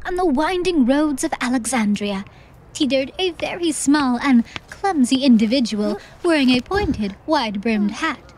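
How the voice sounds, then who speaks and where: A woman's voice: high voice, expressive, a little slow, slight background noise, rough recording. A woman narrating a fantasy audiobook in a scripted, theatrical storytelling style. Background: soft music.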